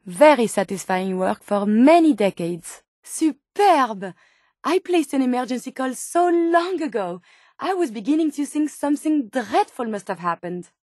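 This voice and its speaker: An earnest, sensitive female robot scientist from a video game, with a French accent and a high voice. She speaks in formal, precise phrases, polite and curious. The recording is dry and close, with no room sound. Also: very animated, unhurried, a little mellow, no room sound, heavily acted. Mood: formal, hopeful. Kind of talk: video game character dialogue.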